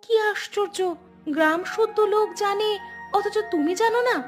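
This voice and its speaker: Woman playing a high-voiced, probing village wife. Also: unhurried. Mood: concerned, probing. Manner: questioning, insistent. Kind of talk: cartoon drama acting. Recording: music clearly behind the voice.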